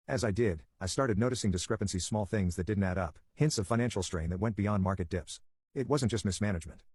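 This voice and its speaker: A man's voice: on the low side, a little mellow, breathy edge, dry recording. A man narrating a scripted first-person story in dramatic, punchy phrases.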